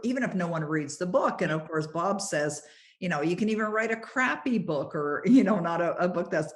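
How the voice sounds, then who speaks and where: A middle-aged woman from Canada hosting a podcast interview, chatty and encouraging, with quick asides and fillers. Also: fairly low pitch. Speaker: podcast host. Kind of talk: interview conversation.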